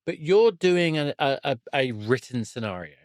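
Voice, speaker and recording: A man's voice: medium pitch, animated, moderate pace, no room sound, clean studio sound. A man hosting a podcast, interviewing a guest in a casual, conversational style.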